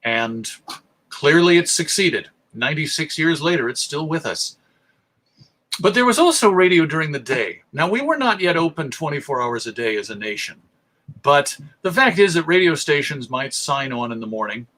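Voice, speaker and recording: Lecturer, male, American: slightly high, very bright voice, articulate storytelling delivery with dramatic emphasis. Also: big pitch swings, normal speed, rough recording. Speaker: presenter, radio show host. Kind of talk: illustrated history lecture.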